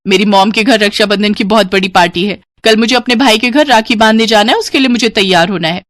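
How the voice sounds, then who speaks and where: A woman's voice: mid-range voice, fast talker, fairly bright tone, no echo, clean studio sound, close to an everyday voice. A woman voicing a haughty, suspicious young city sister-in-law in a moral cartoon, with a scornful, curt, accusing manner. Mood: impatient. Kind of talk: Hindi moral cartoon dubbing.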